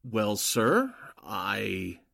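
A man's voice: lowish voice, wildly swooping pitch, drawn-out words, creaky, a bit bright, dry recording, clean studio sound, full character voice. A man acting a deferential, uneasy underling, a newspaper editor who is secretly an agent, in 1940s radio drama style with an earnest, hesitant manner. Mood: shocked, uneasy.

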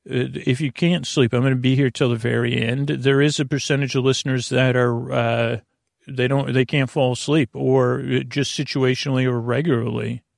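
Guy doing a rambly sleep podcast, gravelly creaky voice, mumbly and halting, really dry close sound. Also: mid-range voice, flat delivery, no echo. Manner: meandering, mumbling, digressive, halting. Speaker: podcast host and bedtime storyteller.

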